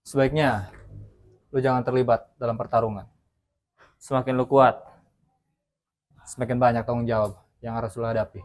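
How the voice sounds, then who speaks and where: A man playing a brooding young street fighter in a fan film, with a calm, somber delivery. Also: medium pitch, normal speed, pauses often, lightly acted.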